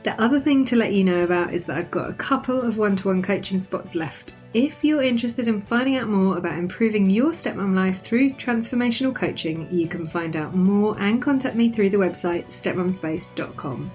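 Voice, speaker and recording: A woman's voice: mid-range voice, expressive, fairly quick, hardly any pauses, a little mellow, soft background music. A woman hosting a podcast interview, chatty and upbeat. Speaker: podcast host.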